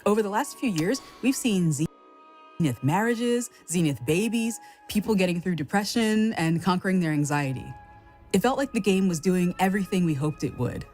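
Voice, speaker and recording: A woman's voice: on the low side, lively intonation, normal speed, darkish tone, soft background music. A woman reading scripted retrospective narration as a game developer telling her team's story.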